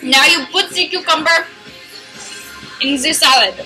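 A woman's voice: sits high, a little slow, rough recording. A young woman playfully hosting a mock cooking show in a home kitchen, giving silly instructions in a mock-formal tone. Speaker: vlogger cooking show host. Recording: home video with loud music behind. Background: rap music playing.

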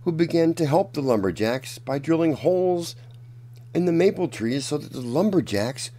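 A man reading a children's picture book aloud as a storyteller, in a deliberate, dramatic manner. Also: a little high, lively intonation, mellow. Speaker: children's storyteller and channel host.

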